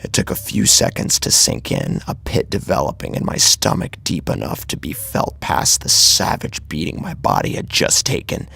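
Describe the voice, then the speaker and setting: A man narrating a first-person horror story dramatically, with a grave, intense delivery and a husky, gravelly voice. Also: rumbling low, wildly swooping pitch, normal speed, few pauses, bright, forceful tone, no room sound.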